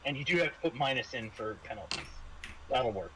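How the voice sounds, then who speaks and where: Halting man running a tabletop game. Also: mid-range voice, animated, background noise. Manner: halting, repeats words and phrases. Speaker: game master of a tabletop horror RPG. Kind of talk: casual game narration and rules explaining.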